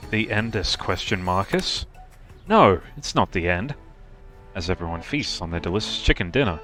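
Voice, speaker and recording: Male streamer-narrator for a comedy game: low, lively, theatrical and playful, light music underneath. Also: normal speed. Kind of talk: dramatic scripted narration for a comic game.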